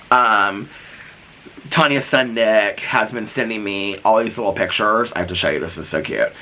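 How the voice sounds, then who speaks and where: A man's voice: lowish voice, sharp tone. A man vlogging in a casual, rambling way, full of "like" and "um," while sick and losing his voice. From United States. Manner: rambling, full of likes and ums. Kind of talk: casual chatty vlog.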